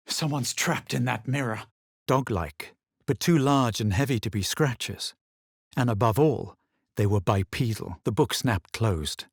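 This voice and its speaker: A man voicing a self-assured adventurer hero in a fantasy video game, with a husky voice and a measured, slightly superior, dramatic delivery. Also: medium pitch, dry recording, clean studio sound. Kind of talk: video game voice acting, barks and past-tense narration.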